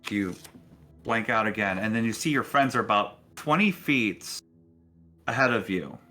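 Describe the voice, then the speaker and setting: A man narrating a tabletop game as game master in a deliberate, suspenseful, dramatic style. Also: on the low side, expressive, bright, forceful tone, soft background music.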